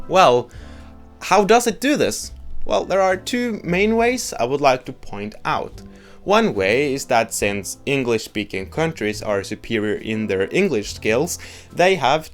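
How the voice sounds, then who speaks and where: A young man with a Norwegian accent, a native Norwegian speaker, giving a blunt, joking opinion monologue as a video host. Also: mid-range voice. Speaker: YouTube host.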